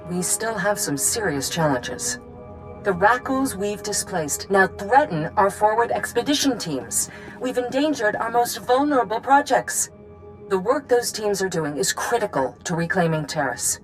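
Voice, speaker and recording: An actress playing a determined colonial governor in a video game, speaking formally and earnestly to rally a hero. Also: female voice, medium pitch, expressive, normal speed, faint music behind, natural voice, poor audio. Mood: gracious, hopeful. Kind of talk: video game cutscene acting.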